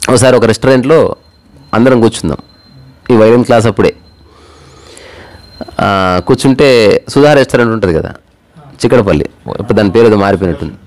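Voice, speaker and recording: Low-pitched man telling stories casually. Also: a little fast, long pauses, darkish tone. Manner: conversational, acts out dialogue, mixes English words into Telugu. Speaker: storyteller. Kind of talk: casual moral storytelling with personal anecdotes.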